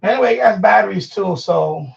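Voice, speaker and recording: A man's voice: sits high, lively intonation, fairly quick, gravelly. A middle-aged man hosting a casual, rambling live stream, talking informally and slangily as if to himself while he handles things. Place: room at home being set up as a radio shack. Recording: dull lo-fi sound, distant from mic.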